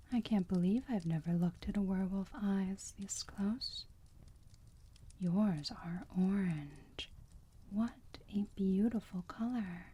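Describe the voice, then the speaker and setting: A woman acts out a doting female vampire who whispers in an ASMR roleplay. Her voice is very breathy and extremely dark and soft, and she takes her time with every phrase, cooing with motherly, protective tenderness. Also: mid-range voice. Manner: soft, slow, cooing, soothing.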